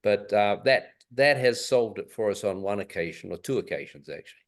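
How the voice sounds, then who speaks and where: A man's voice: lowish voice, lively intonation, brisk. Older New man, easy laugh. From New Zealand. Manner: laughs easily, plain practical explanations. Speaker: club member answering technical questions. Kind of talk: casual conference call.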